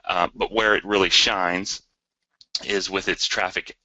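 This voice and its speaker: A man's voice: deep voice, steady tone, measured pace, bright, forceful tone, no room sound. A man presenting products in a webinar, speaking hesitantly and repeating words. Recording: dry but dull lo-fi webinar audio.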